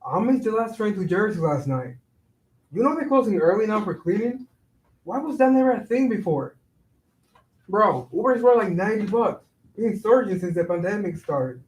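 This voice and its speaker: High, exasperated young man acted, slangy griping. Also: animated, normal speed, a little mellow. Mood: weary, complaining. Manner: slangy, complaining, sprinkles in Spanish. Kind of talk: staged reading of a one-act play. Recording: roomy echo, voice far from mic.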